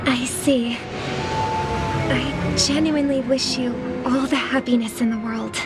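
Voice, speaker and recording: Gracious young game heroine: fairly high, unhurried, polite and measured, over loud music. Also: female voice, fairly even intonation. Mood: gentle, curious. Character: gentle, gracious, thoughtful young female singer idol. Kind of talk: video game voice acting.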